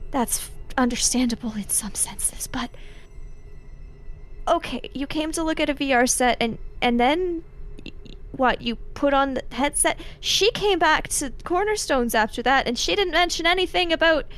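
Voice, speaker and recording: A woman's voice: high-pitched, expressive, moderate pace, a bit bright. A woman playing in a tabletop role-playing game, speaking in casual improvised conversation. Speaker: tabletop role-play player.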